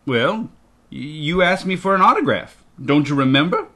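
A man's voice: fairly high pitch, very animated, moderate pace, pushed and bright, voice pushed up, exaggerated voice. A man voicing a sheepish, lying monster who poses as a polite guest, hesitant and folksy, in an audiobook. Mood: feigned puzzlement. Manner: hesitant, folksy, falsely bashful. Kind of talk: audiobook character dialogue.

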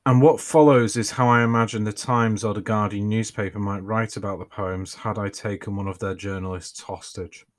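A man reads his own writing for his podcast. His voice sits very low, and his delivery is relaxed, with a dry, self-mocking humour. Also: normal speed, few pauses. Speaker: podcast host and author.

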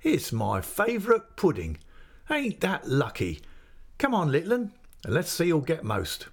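A man's voice: on the high side, wildly swooping pitch, a little slow, husky, pitched above the performer's own voice, heavily acted. A man putting on a sly, gabbing inn waiter. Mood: greedy delight. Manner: garrulous, wheedling, mock-dismayed. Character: chatty, greedy, sly male inn waiter.

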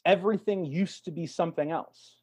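A man's voice: fairly high pitch, a little mellow, husky. A man in reflective, casual conversation as a guest, feeling his way through thoughts with repeated words. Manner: halting, repeats words while thinking. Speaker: podcast guest. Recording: dull, lo-fi audio.

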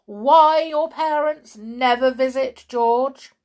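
A woman's voice: sits high, takes it slowly, darkish tone, voice pushed up. A woman voicing a cruel, bossy hospital matron from a storybook, with a sneering, theatrically sarcastic delivery. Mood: sarcastic, scornful. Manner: theatrically scornful, sarcastic, snapping.

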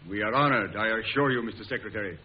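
An actor playing a formal, courteous trial judge in an old-time radio drama. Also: male voice, lowish voice, quick pace, lightly acted. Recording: hissy, muffled old radio broadcast recording.

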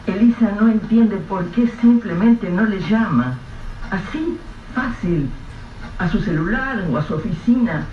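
Male radio drama narrator, measured and grave, slightly higher voice; dull, lo-fi take with light hiss. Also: lively intonation. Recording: echoey, distant, dull lo-fi with faint hiss.

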